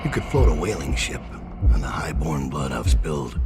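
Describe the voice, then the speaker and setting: Tired old assassin, super deep gravelly voice, slow, loud music over it. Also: male voice, big pitch swings. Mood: brooding. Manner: grim, slow, brooding narration. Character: weary aging male assassin, grim and regretful. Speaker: narrator. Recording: game cutscene under loud music.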